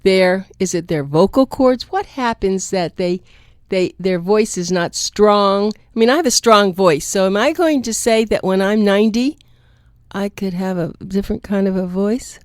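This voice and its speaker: A woman's voice: medium pitch, normal speed, dry recording. Radio host woman, dry studio sound. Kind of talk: radio interview.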